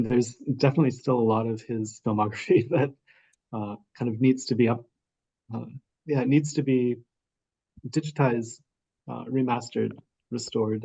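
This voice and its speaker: A man speaking as a festival programmer on an online panel, pausing often with ums and uhs. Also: medium pitch, gravelly, dark tone, slightly rough, lo-fi sound. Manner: hesitant, full of ums and uhs. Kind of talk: casual panel discussion over video call. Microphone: video call microphone.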